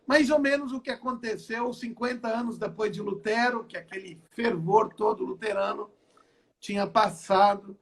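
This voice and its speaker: A man's voice: sits high, steady tone, normal speed, a bit bright. An older man from Brazil, a pastor giving his testimony in an online interview from home, repeating and restarting phrases as he talks. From southern Brazil. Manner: repetitive, stacks and restarts phrases. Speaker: apostle and pastor.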